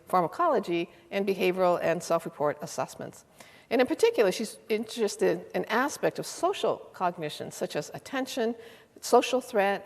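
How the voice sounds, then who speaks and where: An older woman at a lecture hall podium reads a speaker's introduction, with some fillers and restarts. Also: medium pitch. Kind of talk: formal spoken introduction. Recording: long hall reverb.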